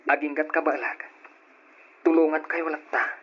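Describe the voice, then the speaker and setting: An actor playing a kind, dutiful young nephew in an old radio drama, speaking gently and respectfully. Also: male voice, a little high, fairly even intonation, normal speed, breathy edge. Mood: consoling. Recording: dull old radio recording.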